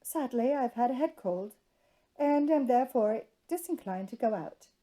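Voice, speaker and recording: A woman's voice: on the high side, lively intonation, normal speed, warm tone. A woman voicing a reserved, exasperated young society lady, curt and dryly formal, in a storybook reading. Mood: disinclined.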